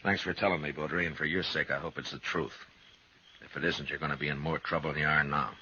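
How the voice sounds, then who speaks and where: Deep-voiced steely frontier marshal. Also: male voice, fairly even intonation, quick pace, pushed and bright, breathy edge, heavily acted. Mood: firm, cautious. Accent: American. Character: steady, authoritative frontier US marshal. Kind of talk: 1950s western radio drama acting. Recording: dull, noisy 1950s radio recording.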